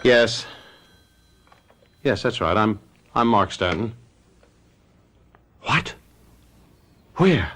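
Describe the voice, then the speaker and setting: Curt, evasive husband with swooping pitch. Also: male voice, expressive, extremely slow, long pauses, background noise. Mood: irritable, guarded. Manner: curt and testy, stammering under pressure. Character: guilty husband feigning grief, curt, irritable, evasive. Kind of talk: radio mystery drama acting.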